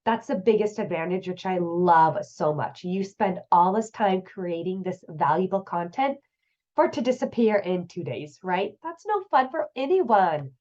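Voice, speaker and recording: A woman's voice: lowish voice, animated, unhurried, darkish tone. A woman in her thirties hosts a casual tips show, chatty and upbeat as she talks straight to her viewers. Her voice sounds congested and nasal from a cold. The recording is a little dull and muffled. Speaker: host. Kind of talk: casual online business tips.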